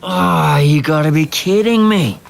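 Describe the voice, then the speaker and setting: A man's voice: a little high, unhurried, vocal fry. An actor playing a harried, dutiful young man in a family restaurant drama, speaking casually with exasperation and apology. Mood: stressed.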